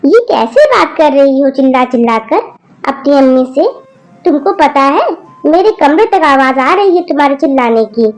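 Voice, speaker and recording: A woman's voice: high-pitched, animated, moderate pace, darkish tone, soft background music. A woman voicing a stern older grandmother who lectures her rude granddaughter firmly. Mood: scolding. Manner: scolding, lecturing, firm.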